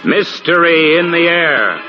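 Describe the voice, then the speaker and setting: A man's voice: a little high, big pitch swings, takes it slowly. A man introducing a radio program in a formal, dramatic 1940s announcing style. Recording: muffled vintage radio recording. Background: music.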